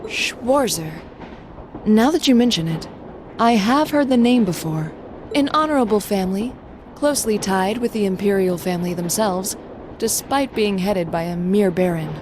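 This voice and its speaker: Serious young female student, played by a woman, dignified and formal, medium pitch, game dialogue over clear noise. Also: darkish tone, lightly acted. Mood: composed. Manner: formal, measured wording. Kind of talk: video game cutscene dialogue acting.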